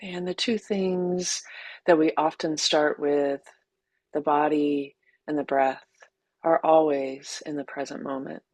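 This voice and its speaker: A woman teacher leading a guided meditation in a gentle, unhurried way, leaving long pauses between short phrases. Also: sits low, steady tone, dry recording.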